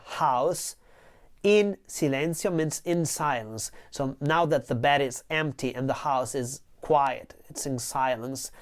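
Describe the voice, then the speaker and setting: A woman teaching Italian, translating a song line by line and patiently repeating each word with its meaning. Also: deep voice.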